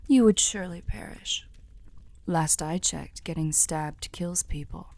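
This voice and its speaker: Slow, sly female demon, half-whispering, acted by a woman. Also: on the low side. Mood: possessive, menacing. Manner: soft, slow, breathy half-whispers, amused and formal. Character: teasing, sly female demon offering a soul contract. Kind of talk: scripted ASMR roleplay.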